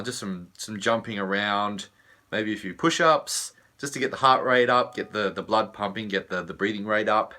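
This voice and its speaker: A man with an Australian accent, a vocal coach whose voice is husky from laryngitis, explaining vocal care in a relaxed way with many fillers. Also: fairly low pitch. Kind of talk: teaching video.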